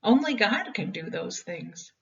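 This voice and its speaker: A woman's voice: fairly high pitch, sing-song, unhurried, fairly bright tone. A woman reading a children's Bible story expressively, with dramatic, emphatic phrasing meant for young listeners. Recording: dull, distant home-style recording.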